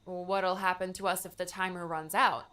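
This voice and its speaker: Guy putting on a young girl voice, way higher, unsure and full of questions. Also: little pitch movement, normal speed, bright, forceful tone, clean tone. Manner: hesitant, questioning. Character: young woman, nervous and curious. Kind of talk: audiobook character voice.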